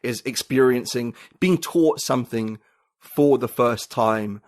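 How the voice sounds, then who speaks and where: A man's voice: mid-range voice, slow. A man talking as a podcast guest, thinking aloud in a rambling, reflective way with many fillers and restarts. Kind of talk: casual conversation.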